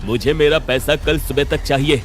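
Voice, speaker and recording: A man's voice: on the high side, normal speed, faint music behind, close to an everyday voice. A man voicing a greedy, bullying village sweet-shop moneylender in a cartoon story, taunting and threatening. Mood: mocking, threatening.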